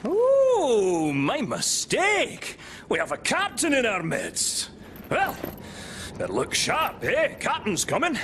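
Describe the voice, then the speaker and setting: A sardonic, teasing hunter in a video game. The delivery is unhurried and full of big swings in pitch, with sarcasm throughout. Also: male voice, wildly swooping pitch, a bit bright, slight background noise, exaggerated voice. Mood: mocking. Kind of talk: video game dialogue acting.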